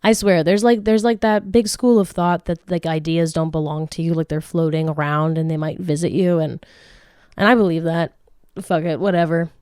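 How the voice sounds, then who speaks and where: A woman in her late twenties hosts a casual question-and-answer podcast, talking in a frank, rambling way that is full of laughter and restarts. Her voice sits a little low and sounds mellow and smooth. It is recorded close up in a dry, dead room. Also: steady tone, normal speed, no echo. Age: late 20s. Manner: chatty, frank, swears freely, laughs often, many restarts. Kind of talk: casual chat.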